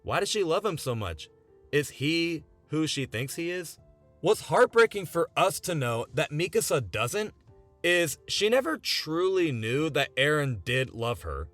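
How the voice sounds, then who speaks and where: A man in his twenties with a fairly high voice narrates a video essay. His delivery is earnest and very animated, leaning on emphasis. Music plays behind him. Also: measured pace. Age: 20s. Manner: earnest, emphatic with rhetorical questions. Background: background music.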